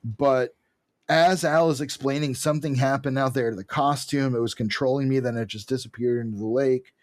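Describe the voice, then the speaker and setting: Male podcast co-host, medium pitch, conversational recap style, close dry recording. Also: fairly even intonation, fairly quick, no room sound. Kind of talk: casual comic-book recap and commentary.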